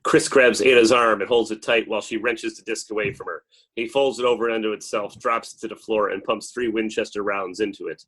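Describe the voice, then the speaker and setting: A man's voice: fairly low pitch, fairly even intonation, a little fast, fairly bright tone, slightly rough, low-quality recording. Man narrating screenplay stage directions. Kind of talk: scripted narration at an informal table read.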